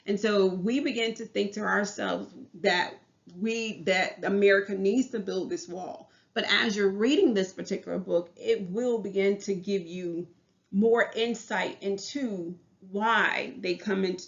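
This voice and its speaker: A woman's voice: mid-range voice, moderate pace, gravelly, dull audio. A woman in her thirties with a Southern US accent, a teacher giving a class presentation in an earnest way, often restarting her phrases. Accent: Southern US English.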